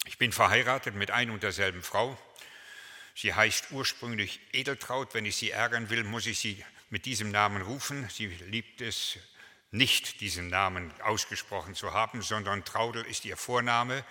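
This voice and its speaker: Bright-voiced pastor in his seventies, echoing hall. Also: on the low side, moderate pace. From Germany. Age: 70s. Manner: chatty, anecdotal, humorous preaching. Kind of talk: sermon. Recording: long hall reverb.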